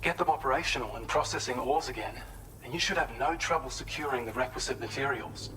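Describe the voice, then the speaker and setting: An actor playing an earnest, helpful male researcher in a video game, giving a polite, measured, explanatory briefing. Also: mid-range voice, crowd noise, natural voice, rough recording. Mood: earnest, businesslike.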